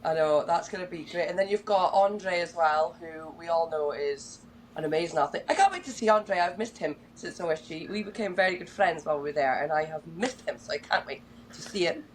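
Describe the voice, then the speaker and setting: A woman's voice: lowish voice, brisk, slight background noise, low-quality recording. A woman hosting a casual podcast, bubbly and enthusiastic, casually swearing as she chats. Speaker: podcast host.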